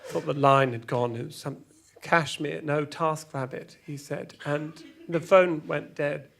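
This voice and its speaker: A man's voice: fairly high pitch, fairly even intonation, gravelly, a bit bright, full character voice. A man acting a wistful, hesitant character who falters through a tender memory in an audio drama monologue. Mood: rueful amusement. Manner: hesitant, halting.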